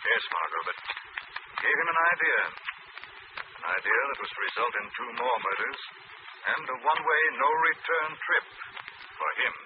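An actor playing a confident, stern crime-fighting avenger in an old radio drama, deliberate and mockingly dramatic. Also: male voice, mid-range voice, lively intonation, close to an everyday voice. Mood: calm explaining. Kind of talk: 1940s radio drama acting. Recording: muffled, noisy old radio recording.

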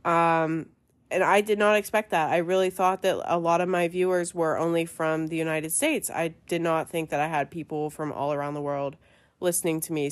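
A young woman hosts her podcast with a chatty, easygoing flow, full of little fillers. Her voice sits a little low, and a cold leaves it stuffy and nasal. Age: young adult. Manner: chatty, lots of fillers and likes. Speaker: podcast host. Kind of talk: casual chat.

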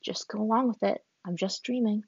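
A woman voicing a gentle, confused young airbender boy who asks and pleads unsure. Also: medium pitch, fairly quick, slightly rough, dry recording, highs cut off. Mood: pleading.

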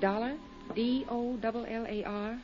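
An actress playing a meek, drab young woman who works as a receptionist, speaking quietly and timidly in a muffled 1950s radio drama. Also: a little high, little pitch movement, normal speed, faint music behind, natural voice. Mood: polite.

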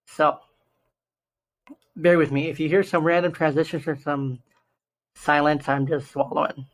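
A young man with a slightly raspy, hoarse voice, earnestly hosting a solo podcast and slowing down to stress key numbers. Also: a little high, level tone, low-quality recording. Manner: earnest, stresses numbers slowly, self-interrupting restarts. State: hoarse from shouting the day before. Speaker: podcast host.

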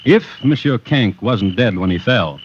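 An American man narrating a 1950s radio crime series as host, with a wry, deliberate storytelling manner. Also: on the low side, expressive, a little hiss or hum. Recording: muffled vintage radio recording. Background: prominent musical accompaniment.

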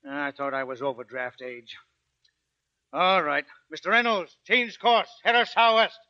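A man playing a gruff, war-weary older merchant captain in a 1940s radio drama. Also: on the high side, unhurried, lightly acted. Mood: weary, resolved. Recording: dull 1940s radio broadcast recording.

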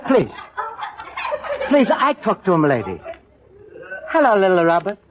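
A man's voice: sits high, huge pitch swings, unhurried, noisy background, heavily acted. An actor playing a kindly, earnest immigrant man as a store Santa, with an Italian accent and broken English, in old radio style. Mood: friendly. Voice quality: broken English with extra vowels. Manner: earnest, apologetic, eager to please. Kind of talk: old radio comedy-drama acting. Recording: dull, lo-fi old radio recording.

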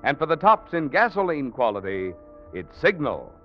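Higher-voiced, dramatic male radio announcer. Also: big pitch swings, moderate pace, soft background music. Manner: dramatic, declamatory. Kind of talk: 1940s radio announcing and sponsor pitch. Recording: dull vintage radio recording.

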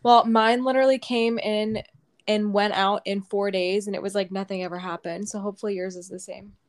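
A young woman co-hosting a podcast, telling a story in a casual, animated way and swearing freely. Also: mid-range voice, fairly quick. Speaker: podcast co-host.

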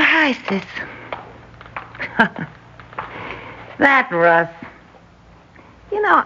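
An actress playing a brash, lively young 1940s woman with a slangy, wisecracking way of talking in an old radio drama. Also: medium pitch, husky, heavily acted. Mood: giddy, lovestruck. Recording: dull vintage radio recording, faint music.

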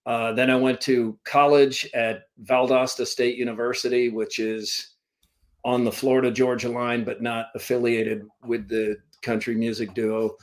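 A middle-pitched man in his fifties, originally from Vermont, tells stories from his broadcasting career in a loose, rambling way. He pokes fun at himself as he goes, speaking over a computer call. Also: level tone, measured pace. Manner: rambling, self-deprecating storyteller. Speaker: podcast guest, sports broadcaster. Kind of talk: casual storytelling interview. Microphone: remote call from a computer.

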